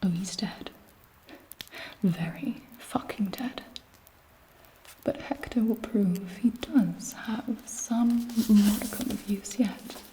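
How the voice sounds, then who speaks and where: A woman voicing a scheming, haughty vampire queen in a breathy, deliberate ASMR whisper. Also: medium pitch, lightly acted, rough recording. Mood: cold, contemptuous. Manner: slow, deliberate, imperious. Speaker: ASMR performer.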